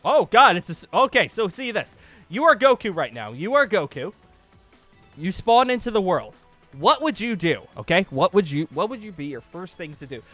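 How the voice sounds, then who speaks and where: A man hosting a casual, excited gaming vlog, rambling along and repeating phrases for emphasis. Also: sits high, normal speed, no echo, faint music behind. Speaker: YouTube host pitching a gaming roleplay series. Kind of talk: casual excited vlog chat over gameplay.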